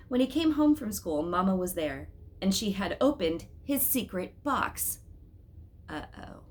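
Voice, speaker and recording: A woman reading a children's story aloud as an expressive storyteller, with dramatic, emphatic phrasing. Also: on the low side, slight background noise.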